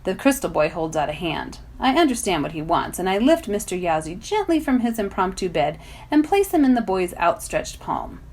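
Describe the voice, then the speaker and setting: A woman reading a children's novel aloud as a volunteer, in expressive narration. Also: medium pitch, normal speed. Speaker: volunteer story reader.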